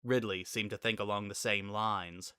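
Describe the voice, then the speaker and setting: Low, crisp-edged male story narrator. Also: steady tone, normal speed, bright, forceful tone, no room sound, clean studio sound. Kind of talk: scripted dramatic story narration.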